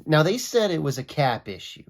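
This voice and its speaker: A young man with a bit of a cold, so his voice comes out a little raspy. he talks through his football takes in a loose, opinionated way, his pitch jumping up when he gets fired up. it has the feel of a casual solo video chat. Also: moderate pace. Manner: opinionated, conversational, animated pitch swings. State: sick, raspy voice. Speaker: sports vlogger. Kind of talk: casual sports commentary.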